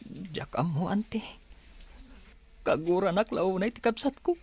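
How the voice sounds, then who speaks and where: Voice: mid-range voice, expressive, unhurried, a little mellow, slight background noise. A performer with a Filipino Ilocano accent playing a remorseful, newly orphaned younger brother in a radio drama, plaintive and pleading. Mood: helpless, tearful.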